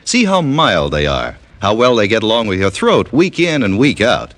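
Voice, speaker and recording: Male radio ad announcer, vintage style; lowish, lively intonation, dry sound, persuasive read. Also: moderate pace, dry recording. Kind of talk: 1950s scripted radio ad read.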